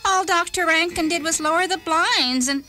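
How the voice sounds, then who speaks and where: A woman's voice: very high voice, moderate pace, sharp tone, soft background music. A woman playing a fussing, reassuring middle-aged wife in a dramatised story, coddling as she talks. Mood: soothing.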